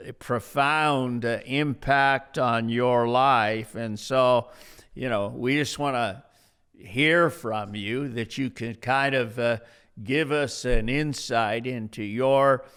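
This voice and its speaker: A man in his seventies hosting an unscripted interview podcast as a pastor, speaking in a deliberate, halting way with long pauses. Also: mid-range voice, fairly bright tone, clear, resonant tone. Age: 70s. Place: at a dining room table in a house.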